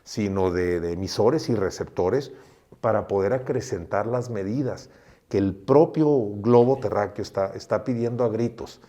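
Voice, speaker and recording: A middle-aged man from Mexico, a doctor giving an earnest interview, speaking slowly and often restarting his phrases. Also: lowish voice, warm tone. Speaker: guest doctor.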